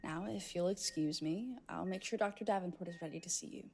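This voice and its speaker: A woman's voice: fairly low pitch, brisk, mellow, a little hiss or hum, lightly acted. A woman playing a composed, evasive institute staffer in an audio drama, reassuring yet dismissive. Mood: smooth, evasive. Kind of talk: horror audio drama acting.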